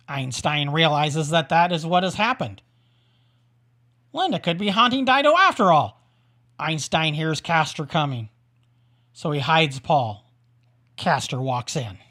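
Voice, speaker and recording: A woman's voice: deep voice, a little slow, long pauses, fairly bright tone. A woman narrating a scripted audio drama as the storyteller.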